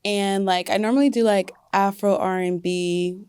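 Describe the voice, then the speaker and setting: A woman's voice: medium pitch, steady tone, normal speed, a little mellow, clean tone, dry recording, studio quality. A young woman singer talking casually in an interview, with many fillers like "like" and "you know". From United States. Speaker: singer guest.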